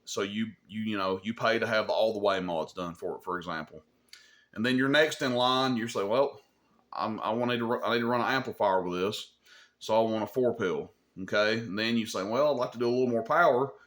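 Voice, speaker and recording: A man's voice: lowish voice, a little fast, fairly bright tone. A man hosting an informal hobby tutorial, explaining things in an opinionated, plain-spoken way and often checking in with a short questioning tag. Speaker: host of a CB radio advice channel.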